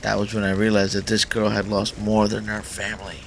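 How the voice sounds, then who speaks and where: Male gaming host, low pitch, creaky gravelly voice, dramatic visual novel narration, slightly noisy recording. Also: monotone, fairly quick. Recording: faint background noise.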